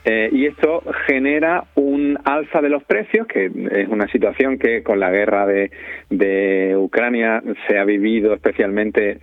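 Over a narrow, dry telephone line, a man answers as an interview guest. He explains at length, haltingly, searching for his words. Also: lowish voice, dry recording. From Spain. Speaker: interview guest, community radio member and audio fiction creator. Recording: thin, narrowband phone line.

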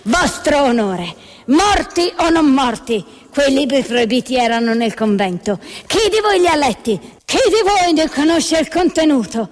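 A woman's voice: high-pitched, big pitch swings, a little slow. A woman playing a pompous, exasperated male church inquisitor in a satirical puppet play, accusatory and formal. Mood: indignant. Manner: accusatory, indignant, formal courtroom address. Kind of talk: satirical stage puppet theatre. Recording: reverberant theatre hall.

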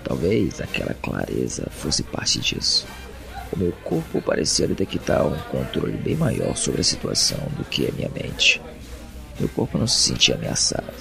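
A man with a Brazilian accent playing an introspective, uneasy captive who narrates an audio drama in a brooding, deliberate way. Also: a bass voice, gravelly, exaggerated voice. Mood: tense pondering. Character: captive man, introspective, uneasy, reasoning through his confinement.

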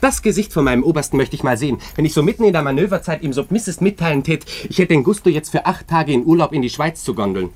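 An actor playing a proud, awkward young cavalry lieutenant in a German radio drama, indignant and politely stubborn. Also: male voice, on the high side, expressive, fast talker, hardly any pauses, darkish tone. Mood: ironic, indignant.

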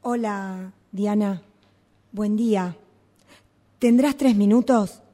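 A woman's voice: medium pitch, slow, dark tone, close to an everyday voice. A woman with a Rioplatense accent playing an indignant employee who confronts her boss with insistent questions, in amateur radio theatre. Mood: worried, insistent. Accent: Rioplatense Argentine Spanish.

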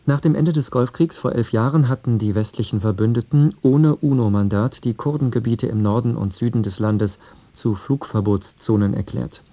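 A man reading a scripted radio news and weather bulletin in a sober, measured manner. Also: medium pitch, dark tone. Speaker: radio newsreader.

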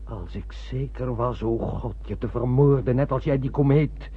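A man's voice: mid-range voice, moderate pace, breathy edge, natural voice. An actor playing an anguished male ship's officer driven to mutiny in a radio drama, earnest and agonised. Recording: tinny, band-limited old radio recording.

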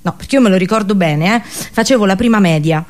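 A woman's voice: mid-range voice, fast talker, darkish tone. A woman performing a comic theatrical monologue for radio, colloquial and sarcastic, full of exaggerated rhetorical questions.

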